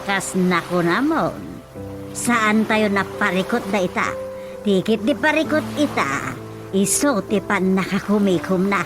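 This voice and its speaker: A woman's voice: medium pitch, animated, unhurried, over music, lightly acted. A woman with an Ilocano accent playing a fussy, practical, scolding mother in a radio drama comedy, grumbling and emphatic.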